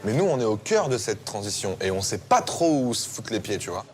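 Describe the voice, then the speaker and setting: A man's voice: on the low side, sing-song, a little fast, a little hiss or hum. A man in a casual group debate, arguing earnestly and repeating words as he goes. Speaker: group discussion participant.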